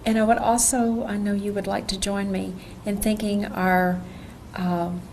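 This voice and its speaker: Woman, course teacher, mellow and even intonation, measured unscripted talk with pauses, faint background noise. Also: medium pitch. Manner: measured, hesitant, pausing mid-sentence.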